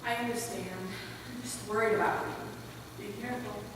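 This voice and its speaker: A woman's voice: mid-range voice, fairly even intonation, moderate pace, far from the mic, close to an everyday voice. A woman playing a worried, cautious wife of a missionary pilot in a readers theater piece. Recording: echoey hall from afar, faint noise.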